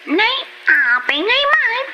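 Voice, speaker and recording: A woman playing an expressive, fussy young woman in an Ilocano comedy drama, with an Ilocano accent. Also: very high voice, slow pace, dull audio, slight background noise, full character voice. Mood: exasperated.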